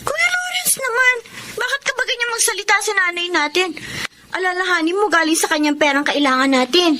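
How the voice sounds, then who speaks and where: An earnest young Filipina sister in a family drama, quick to scold. Her voice is extremely high and girlish, with faint noise in the recording. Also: expressive, pushed and bright, heavily acted. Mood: reproachful. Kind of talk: Filipino family melodrama acting.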